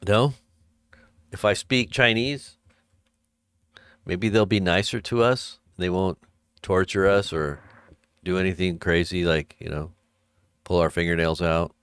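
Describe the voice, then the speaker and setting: A man's voice: sits low, steady tone, a little slow, pauses often, fairly bright tone, clear, resonant tone, dry recording, studio quality. A man hosting a casual live stream, reading news headlines aloud and adding wry asides with chuckles. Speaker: host of an online ministry live stream.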